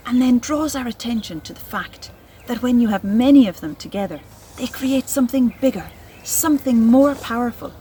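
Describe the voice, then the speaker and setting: Slow, deliberate woman teaching children. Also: fairly high pitch, slight background noise. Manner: expressive, deliberate, addressing young children. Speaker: nature educator and poetry reader. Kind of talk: children's educational narration and poem reading.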